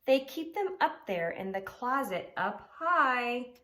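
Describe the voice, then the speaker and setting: Here is a sneaky little storybook pug as a woman reads him aloud, forever fibbing and scheming. The delivery is exaggerated and dismissive, with pitch that leaps and slides all over. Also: very animated, slow pace, a bit bright, exaggerated voice.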